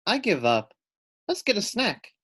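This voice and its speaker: A man's voice: sits high, huge pitch swings, a little slow, fairly bright tone, breathy edge, no room sound, clean studio sound, pitched above the performer's own voice, exaggerated voice. A man reading a picture book, voicing a restless, concerned young girl in a childlike, plaintive way. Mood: discouraged. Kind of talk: picture-book read-aloud character voice.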